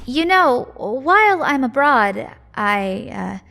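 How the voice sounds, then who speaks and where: A woman's voice: high-pitched, sing-song, takes it slowly, gravelly, bright, forceful tone, full character voice. A woman acting a sly, flippant shop owner and secret agent in a naturalistic audio drama. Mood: breezy, apologetic. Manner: flippant, sardonic, breezy.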